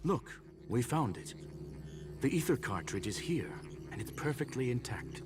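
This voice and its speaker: An actor playing a composed, analytical gentleman machine in a video game, speaking in formal, measured, eloquent phrases. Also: medium pitch, very animated, over music, lightly acted. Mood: calm, explanatory. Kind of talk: scripted video game voice acting.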